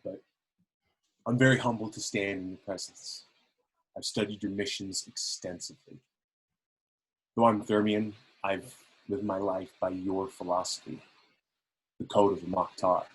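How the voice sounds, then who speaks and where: A man's voice: fairly low pitch, flat delivery, moderate pace, pauses often, husky, low-quality recording. A man playing a humble, earnest young alien escort in a formal, deferential way, in an amateur sci-fi table read. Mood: reverent.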